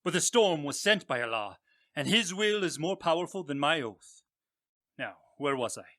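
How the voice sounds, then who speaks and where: An actor playing a boastful, adventurous sailor captain in a theatrical radio drama, speaking in a grandiose, declamatory way. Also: male voice, on the high side, animated, moderate pace, pushed and bright. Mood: self-satisfied.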